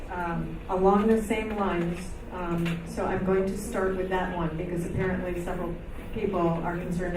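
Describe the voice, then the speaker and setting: A soft-spoken woman moderating a civic meeting, reading audience questions aloud. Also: lowish voice. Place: meeting hall. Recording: echoey hall, distant mic, noisy.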